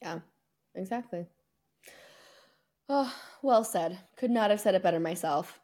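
A woman's voice: mid-range voice, measured pace, dark tone. A woman co-hosting a sports podcast in casual, chatty conversation, with a playfully self-mocking way of talking. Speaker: podcast host. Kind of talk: casual sports podcast chat, season recap.

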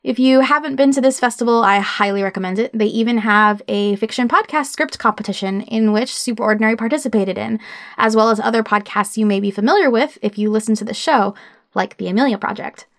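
A woman's voice: on the high side, a little fast, fairly bright tone. A woman hosting a podcast, introducing and conducting an interview.

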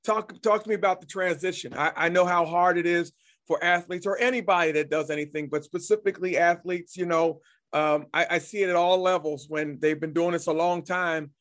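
A man's voice: high voice, fairly even intonation, fairly quick, fairly bright tone. A man hosting an interview, chatty and encouraging, often repeating words as he builds up his questions. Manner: chatty, many restarts and affirmations. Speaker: interview host. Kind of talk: casual interview talk.

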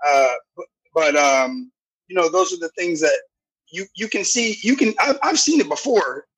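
A man with a loud, deep voice talking earnestly in a casual podcast discussion, often restarting his phrases. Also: animated, normal speed, slightly rough, low-quality recording. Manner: earnest, restarts phrases, keeps asking "you know what I'm saying". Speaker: podcast guest.

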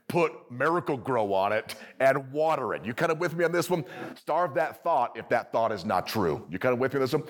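A man preaching to a live congregation, energetic and conversational, often checking in with the audience. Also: mid-range voice. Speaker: pastor. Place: church service with audience.

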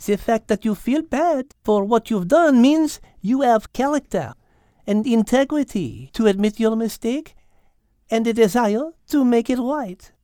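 A poised French female cat speaks slowly in a high, soft-edged voice. She is formal, wise and a little stern, measuring out each phrase as in a storybook. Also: lively intonation, dry recording, studio quality. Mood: kindly encouraging. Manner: measured, formal, slow and deliberate.

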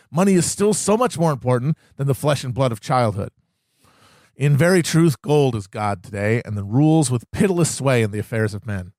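A man's voice: medium pitch, darkish tone, no room sound. A man giving a solemn, oratorical reading of a historical political speech.

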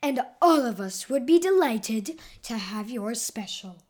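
A woman's voice: on the high side, animated, measured pace, a little mellow. Woman doing a bossy, assertive male donut hero. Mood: protesting.

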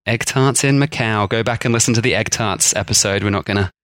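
A man co-hosting a casual food history podcast, chatty and quick to laugh at himself. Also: lowish voice, fairly bright tone, no echo. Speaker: podcast co-host.

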